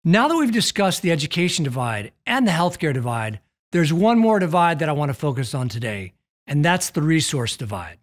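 A middle-aged American man giving a scripted keynote in a measured, emphatic corporate manner. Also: a little high, big pitch swings. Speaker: telecom executive giving a keynote. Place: indoor studio with plain backdrop.

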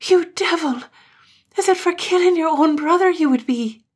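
A woman reading a story aloud, voicing a terrified young Highland woman who cries out shrilly and frantically. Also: normal speed. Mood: horrified.

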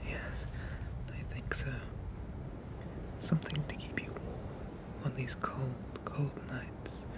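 A man voicing a protective, possessive sea monster father in a hushed, soothing ASMR roleplay with formal phrasing. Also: mid-range voice, level tone, takes it slowly, close to an everyday voice. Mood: tender.

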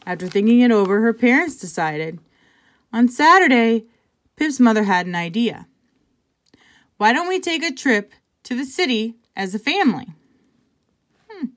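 A woman reading a picture book aloud to children, conversationally, pausing for casual asides and check-in questions. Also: fairly high pitch, sing-song, fairly bright tone. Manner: conversational, pointing things out and asking check-in questions. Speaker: intervention specialist reading to children.

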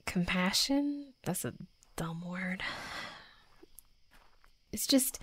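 Woman voicing a young female tsundere werewolf for roleplay audio: slow delivery, close dry sound, defensive, teasing, hot-headed but flustered. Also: mid-range voice, vocal fry, no echo. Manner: halting, defensive, teasing, often dropping to soft murmurs. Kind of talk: intimate roleplay audio drama.

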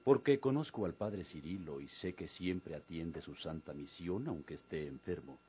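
A very raspy, deep village priest. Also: male voice, lively intonation, moderate pace, mellow, full character voice. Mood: puzzled, measured. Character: grave, inquisitive village priest. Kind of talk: Mexican radio drama acting.

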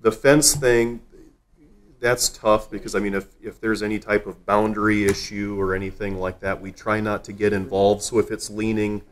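Low-voiced man giving an informal civic update. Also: level tone, normal speed. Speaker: city official giving updates at a council meeting. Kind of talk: informal spoken report to a meeting. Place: council meeting room, indoors.

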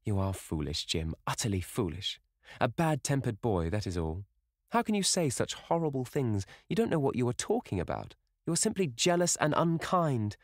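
Guy doing a dreamy playful young woman in love, pitched up, audiobook style, dry close sound. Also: sing-song, normal speed, no echo. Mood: playful scolding. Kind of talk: audiobook character voice.

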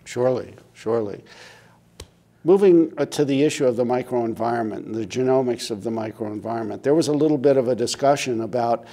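A middle-aged American man moderating a medical panel, asking questions in a deliberate, formal way. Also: mid-range voice, mellow. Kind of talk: interview questioning. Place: indoor conference interview set.

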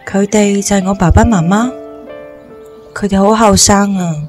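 A woman playing a gentle, downcast young woman with amnesia in an audio drama. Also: medium pitch, steady tone, moderate pace, faint music behind, lightly acted. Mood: wistful.

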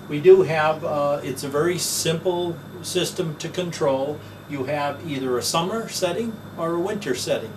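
Older guy doing his own sales pitch, kinda high voice, a bit far from the mic, fan humming behind him. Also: moderate pace, few pauses. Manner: plain folksy sales talk with filler "uh"s. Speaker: business owner pitching his product. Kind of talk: promotional demonstration. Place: office, then a basement demo wall indoors. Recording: distant mic with audible fan hum.

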